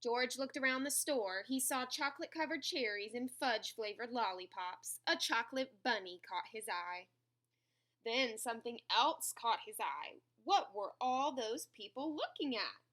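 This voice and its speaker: A woman reading a children's picture book aloud in an expressive, animated way, pausing over phrases for young listeners. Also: a little high. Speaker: storybook reader.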